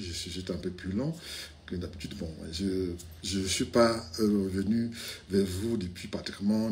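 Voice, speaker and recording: A man's voice: low voice, a little mellow, a little hiss or hum. A man from Cameroon giving his political opinion straight to the camera, outspoken, with many restarts and fillers. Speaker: video commentator. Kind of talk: casual political commentary to camera. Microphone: camera, speaking to it directly.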